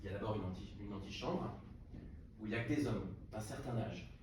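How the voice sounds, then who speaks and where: A man reading a literary novel aloud at a book prize event, unhurried and with frequent hesitations and restarts. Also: fairly low pitch. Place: salon of a literary hotel. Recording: echoey room, distant mic, background noise.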